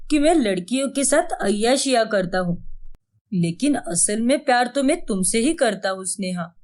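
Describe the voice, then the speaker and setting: Woman acting as emotional husband, high voice, emphatic, melodramatic story dialogue. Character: jealous husband, first scornful, then pleading and remorseful.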